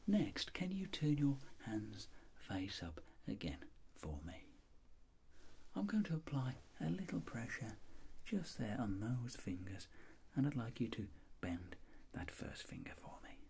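A British man in middle age whispers slowly, as a doctor in an ASMR roleplay. His delivery is soft and breathy, with gentle, lilting movement in pitch. There is faint background noise. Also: very animated. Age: middle-aged. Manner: gentle, polite, measured instructions. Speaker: ASMR creator role-playing a clinic doctor.

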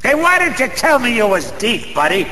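An actor playing a garrulous small-time American gambler in a radio play, slangy, wisecracking and lonely, with a gravelly voice. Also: male voice, very high-pitched, sing-song, moderate pace, bright, forceful tone, exaggerated voice. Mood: restless, needling. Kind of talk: theatrical radio-play monologue. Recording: long room reverb, faint noise.

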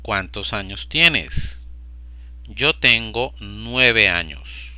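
A man slowly and deliberately repeating short phrases for language learners. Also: lowish voice, lively intonation, sharp tone, dry recording. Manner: slow, deliberate, evenly paced. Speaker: Spanish teacher. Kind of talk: slow repetition drill for learners.

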